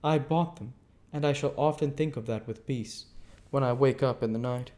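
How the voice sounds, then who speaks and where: A man's voice: medium pitch, normal speed, mellow, pitched above the performer's own voice, close to an everyday voice. A man voicing a self-pitying, indignant older society woman in an audiobook, speaking abruptly and plaintively. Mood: self-righteous indignation.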